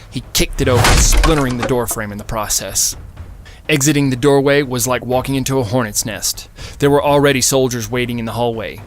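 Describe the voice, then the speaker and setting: A man giving a dramatic scripted narration of a fiction story as an audiobook narrator. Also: mid-range voice. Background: music.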